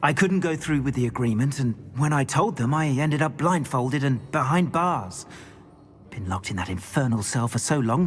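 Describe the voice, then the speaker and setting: An actor playing a captive wizard who pleads for his wand and then slowly tells a sad tale. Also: a little high. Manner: pleading, then an unhurried storyteller. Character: captive older wizard, earnest and grateful. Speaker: rescued prisoner.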